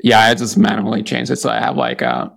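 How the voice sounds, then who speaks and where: A man's voice: fairly low pitch, big pitch swings, a little fast, creaky, fairly bright tone. A Finnish-born man with a Finnish accent, a startup founder answering interview questions casually, hesitant and full of fillers and restarts. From Finland.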